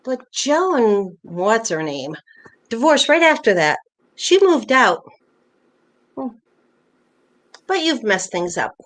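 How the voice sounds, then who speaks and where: A woman's voice: fairly high pitch, big pitch swings, slow, long pauses, fairly bright tone, exaggerated voice. A woman playing a playful, bossy older wife reminiscing fondly with a spouse in a staged play reading. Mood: teasing. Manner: teasing, nostalgic, conversational.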